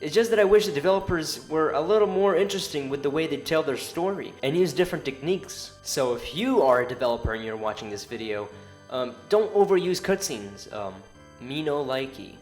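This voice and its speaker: A man's voice: on the high side, lively intonation, brisk. A man giving casual, informal opinion commentary as a video narrator, with the odd sweary, playful aside. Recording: music under the voice. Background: background music.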